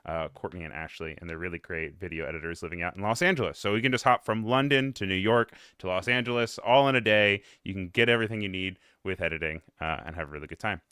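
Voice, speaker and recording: A man's voice: sits low, fast talker, hardly any pauses, pushed and bright, smooth, no room sound, studio quality. A man hosting a live stream, chatting in an upbeat, casual way and running through the schedule.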